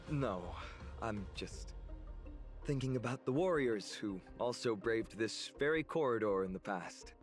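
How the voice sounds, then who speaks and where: A man's voice: medium pitch, animated, slow pace, long pauses. A man voicing a cheerful young warrior in a video game, easygoing and teasing. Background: game music.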